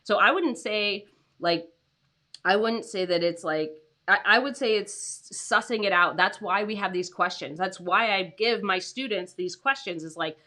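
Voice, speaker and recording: A young adult woman hosting a casual livestream, her voice raspy from tiredness, chatty with many "like"s and "um"s. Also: medium pitch, a little slow, pushed and bright. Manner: chatty, lots of "like" and "um", laughs often. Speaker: host.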